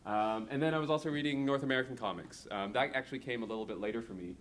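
A man in his thirties, from Hong Kong, a comics creator talking to an audience and often pausing with fillers and restarting. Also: medium pitch. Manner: hesitant, many fillers and restarts. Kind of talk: talk to an audience.

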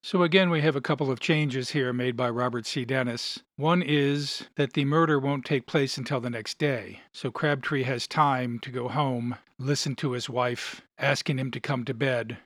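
A man hosting a show, giving scripted narration and commentary on an old radio drama in a measured, explanatory way. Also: medium pitch, steady tone, fairly bright tone, dry recording, studio quality. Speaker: podcast host.

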